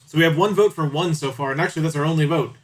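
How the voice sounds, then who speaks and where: Male streamer, lightly high voice, casual and teasing, playing a visual novel for chat. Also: rapid-fire. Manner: playful, bantering with viewers. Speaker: livestream host reading out game and chat choices.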